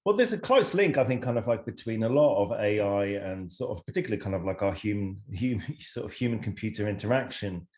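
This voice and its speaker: A man's voice: on the low side, lively intonation, fast talker, few pauses, a little mellow. A man from Switzerland, a researcher, explaining things thoughtfully in a casual interview over a video call, with hedging fillers. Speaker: psychology researcher and guest.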